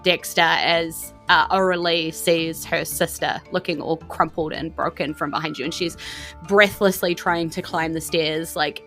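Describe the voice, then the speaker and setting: A woman's voice: deep voice, steady tone, a little slow, sharp tone, faint music behind. New Zealand woman running a tabletop game. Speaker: game master. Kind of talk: improvised tabletop game narration.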